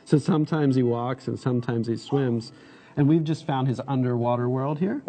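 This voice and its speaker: Mellow-voiced man demoing a toy app. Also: medium pitch, moderate pace, slight background noise. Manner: upbeat, guiding step by step. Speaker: product demonstrator. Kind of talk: live product demo.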